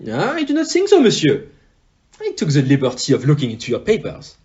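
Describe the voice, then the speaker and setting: A man's voice: a little high, huge pitch swings, a little mellow, lo-fi sound. Man acting theatrical detective, swooping intonation. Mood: probing. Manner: courteous, deliberate, pompous. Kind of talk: amateur audio play acting.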